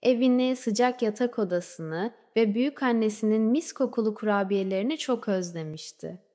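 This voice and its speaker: A woman narrating a scripted children's fairy tale in a measured storybook way, letting phrases trail off softly. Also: fairly high pitch. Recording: long reverberant hall-like echo.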